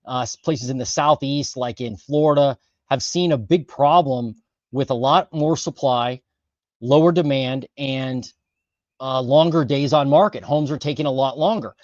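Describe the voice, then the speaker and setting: A man hosting a casual livestream, talking through housing data with lots of fillers and quick self-corrections. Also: medium pitch, no room sound, lo-fi sound. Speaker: host of a housing market livestream.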